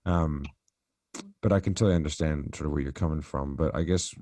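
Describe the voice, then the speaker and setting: A man's voice: extremely low pitch, a little fast, dark tone, dry recording. A man on a recorded sales coaching call, talking conversationally and asking probing questions. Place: recorded call, roomy sound.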